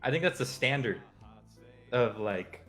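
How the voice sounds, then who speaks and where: A man's voice: medium pitch, animated, unhurried, fairly bright tone, faint music behind. A man co-hosting a casual show, bantering and rambling in informal slang. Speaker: show co-host. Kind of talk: casual banter about video game movies.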